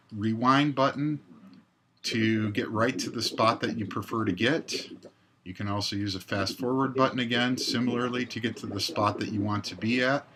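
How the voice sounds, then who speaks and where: Man with a bright, forceful voice. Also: fairly low pitch. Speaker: tutorial presenter. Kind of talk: step-by-step software demonstration. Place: indoors, screen recording voice-over.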